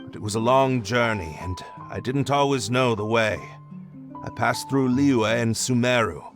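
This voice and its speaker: An actor playing a disillusioned young nobleman, talking in a hesitant, halting, reflective way. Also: mid-range voice, natural voice. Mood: rueful. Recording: game cutscene with music behind.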